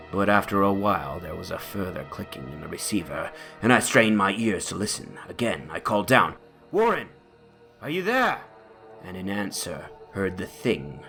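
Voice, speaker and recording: A man's voice: lowish voice, pushed and bright. A man playing a shaken, horror-stricken witness, narrating gothic testimony in a grave, theatrical way. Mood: desperate calling. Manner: theatrical, grave, swelling into anguished cries. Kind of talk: dramatic horror reading. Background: music.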